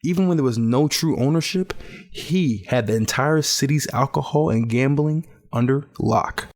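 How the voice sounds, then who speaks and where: A man's voice: medium pitch, normal speed, darkish tone, natural voice. A man playing a weary, reflective young betting clerk in a post-apocalyptic audio drama, speaking in a subdued, polite way. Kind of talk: audio drama narration and dialogue. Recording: music under the voice. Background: music.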